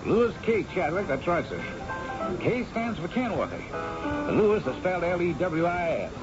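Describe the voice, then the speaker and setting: An animated, chatty helicopter pilot. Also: male voice, sits high, normal speed, over loud music. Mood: proud, chatty. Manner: informal, eager, talkative. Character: chatty male helicopter pilot, folksy and self-important. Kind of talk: old-time radio drama acting. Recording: dull old radio recording.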